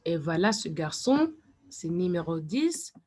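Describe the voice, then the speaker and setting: A woman teaching French to young learners, speaking slowly and deliberately and sometimes switching to English to explain. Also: lowish voice, very animated, a little mellow, a little hiss or hum. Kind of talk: classroom lesson.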